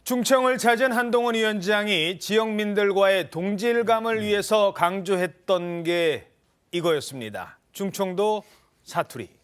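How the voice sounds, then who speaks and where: A man anchoring a Korean TV news talk show, reading introductions and moderating a panel in a formal, measured way. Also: sits high, clean tone.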